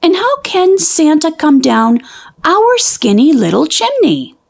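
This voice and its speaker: Woman voicing a high-pitched, curious little girl bear. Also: big pitch swings, slow pace, gravelly, heavily acted. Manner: wondering, questioning. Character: young girl bear cub, earnest and curious. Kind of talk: children's storybook character voice.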